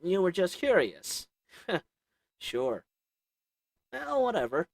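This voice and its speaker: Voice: mid-range voice, wildly swooping pitch, slow pace, gravelly, dry recording, exaggerated voice. A performer voicing a sly, haggling goblin potion merchant who talks to the listener with wheedling, sarcastic salesman patter and little chuckles. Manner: chuckles between lines. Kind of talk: audio roleplay acting to the listener.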